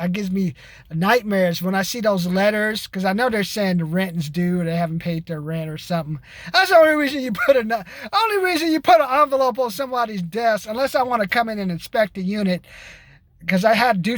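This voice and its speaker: A man's voice: very high-pitched, a bit bright, no room sound. A man, ranting casually and bluntly to his viewers, rambling and swearing as he goes. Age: 50s. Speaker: vlogger. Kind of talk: casual rant to camera.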